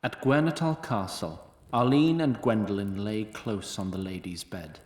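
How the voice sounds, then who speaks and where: A man's voice: on the low side, unhurried, darkish tone, roomy sound. A man narrating a scripted fantasy story in a wry storytelling manner.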